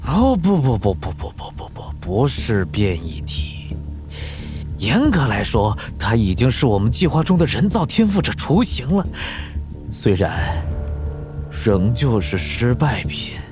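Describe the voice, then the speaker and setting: 一名男演员在动画剧中饰演阴险残忍的疯狂科学家反派，说话夸张拖腔，带着戏谑的嘲弄。. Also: male voice, medium pitch, sing-song, music in the background. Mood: smug taunting. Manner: theatrical, drawn-out taunting with cackles. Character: sinister sadistic mad scientist villain, gleeful and mocking. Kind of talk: animated audio drama acting.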